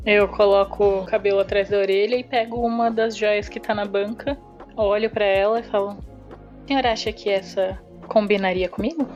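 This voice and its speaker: A woman's voice: mid-range voice, fairly even intonation, moderate pace, darkish tone. A woman playing a tabletop roleplaying game, casually stating actions and asking questions in character. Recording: slightly muffled, band-limited audio with music. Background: background music.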